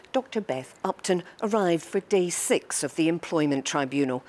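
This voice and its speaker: A woman reading a scripted news report in a measured, formal way. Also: fairly low pitch, darkish tone.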